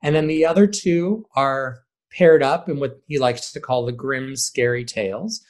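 Mid-pitched man chatting in an interview. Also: unhurried, darkish tone. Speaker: high school theater director, podcast guest. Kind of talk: conversational interview answers.

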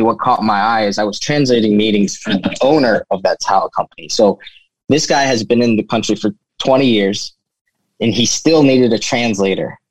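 A man's voice: mid-range voice, breathy edge, dry recording, rough recording. A young man who grew up in the United States, telling his story informally as an interview guest, often saying "you know.". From United States, born in Turkey. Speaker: interview guest, flooring business owner. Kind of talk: casual personal storytelling.

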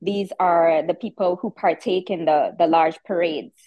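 A woman in her thirties speaks with a strong Trinidadian accent and a slightly low voice. She explains things in an easy, conversational way, often repeating a word as she gathers her thoughts. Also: unhurried, highs cut off. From Trinidad and Tobago. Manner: explanatory, relaxed, repeats words while thinking. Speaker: podcast guest. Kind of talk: casual interview chat.

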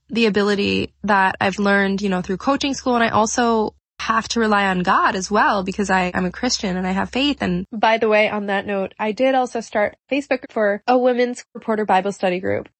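A woman's voice: medium pitch, fairly bright tone, dry recording. A woman hosting a solo podcast, talking earnestly and upbeat with a congested, stuffy voice and frequent fillers. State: congested from a cold. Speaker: podcast host.

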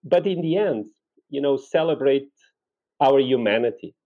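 A man's voice: mid-range voice, expressive, moderate pace, warm tone, dull audio. A man in his forties from Portugal with a Portuguese accent, telling personal stories in a reflective, conversational way as an interview guest. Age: 40s.

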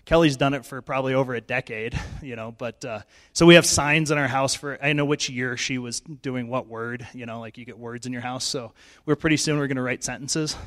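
A middle-aged male pastor, hoarse and losing his voice, giving a chatty, self-joking sermon in church on a handheld mic. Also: medium pitch, a little fast, few pauses, fairly bright tone. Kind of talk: informal sermon. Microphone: handheld microphone.

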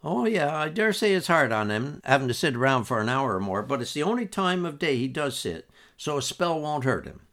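A man's voice: mid-range voice, big pitch swings, a little fast, hardly any pauses, a bit bright, voice pushed up. A man doing a chatty restaurant owner. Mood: amused. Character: easygoing man who runs a waterfront restaurant.

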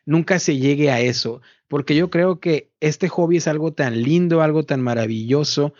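A man's voice: a little high, normal speed, a little mellow, dry recording. A man hosting a board game podcast in casual conversation, chatty and asking questions, often repeating words. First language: Spanish. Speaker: podcast host. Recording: dull, muffled dry audio.

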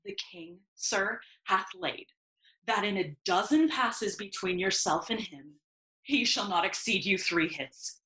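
Fawning courtier, foppish, affected, very animated pitch, slow flowery phrasing, Shakespeare audio drama. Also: female voice, rough recording. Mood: pompous, eager. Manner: obsequious, flowery, affected, gushing. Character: Osric, foppish, fawning, giggly royal courtier. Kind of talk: comic Shakespeare audio play acting.

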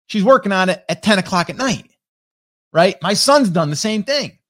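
A man in his late forties gives an easygoing motivational coaching talk. His voice sits a little high for a man. He keeps asking his listeners "okay?" and "right?" as he goes. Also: animated, normal speed, fairly bright tone. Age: 40s. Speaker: marketing coach hosting a coffee talk.

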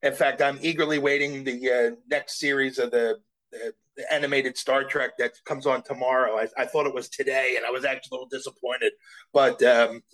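A man's voice: medium pitch, little pitch movement, fairly quick, a bit bright, poor audio. A man from South Jersey, a voice actor guest, chatting humbly and rambling with restarts in an interview. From South Jersey, USA. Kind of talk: casual interview chat.

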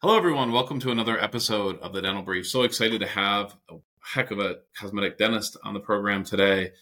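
Man, podcast host, low pitch, bright forceful tone, chatty. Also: fast talker. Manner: enthusiastic, chatty. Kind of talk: podcast interview hosting.

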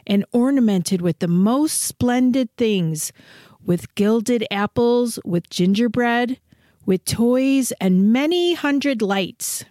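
A woman's voice: a little high, dark tone, no room sound, clean studio sound. A woman voicing a little sparrow in a storybook, marvelling and proudly knowing, drawing out the words in wonder. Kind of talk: dramatic storybook reading.

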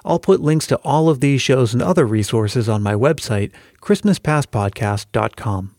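A man's voice: mid-range voice, expressive, moderate pace, a little mellow. A man hosting a podcast, reading scripted narration in an addressing-the-listener style. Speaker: podcast host. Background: music bed.

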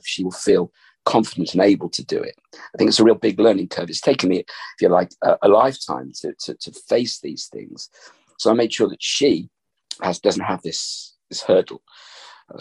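Middle-aged male podcast host, low gravelly husky voice, reflective and rambling. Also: poor audio. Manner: thoughtful, rambling with restarts. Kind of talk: casual reflective chat. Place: upstairs room in family home.

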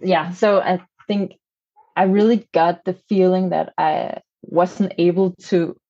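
A woman's voice: lowish voice, steady tone, slow, mellow, muffled recording. A native Danish-speaking woman with a Danish accent, answering interview questions conversationally and searching for words as she goes. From Denmark. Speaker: podcast guest, communications consultant.

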